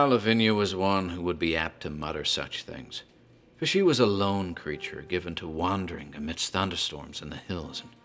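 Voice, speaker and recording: An actor playing a talkative tavern landlord who tells a grim tale in a deliberate, theatrical horror drama style. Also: male voice, low-pitched, fairly bright tone. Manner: deliberate, theatrical storyteller, literary wording. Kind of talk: dramatized horror storytelling, scripted narration.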